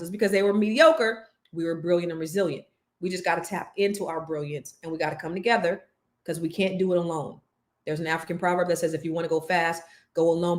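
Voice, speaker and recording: Fervent, low-voiced woman coach. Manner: emphatic, preacher-like cadence. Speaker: life coach for women. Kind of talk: motivational talk to camera.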